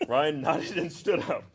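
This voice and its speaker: A man's voice: fairly high pitch, expressive, slow, slight background noise. A man doing a comedic read-aloud on a livestream, theatrical and often breaking off to comment with a chuckle. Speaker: reader on a charity livestream. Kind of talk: comedic read-aloud of fan fiction with ad-libs.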